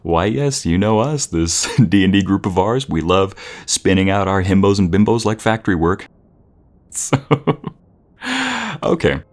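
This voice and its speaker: Very deep-voiced man, playful boyfriend roleplay. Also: measured pace.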